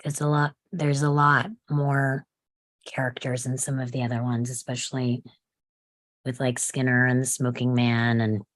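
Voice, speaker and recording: A woman's voice: a bass voice, little pitch movement, normal speed, no echo, clean studio sound. A woman talking casually in a group discussion, explaining things in a thoughtful way.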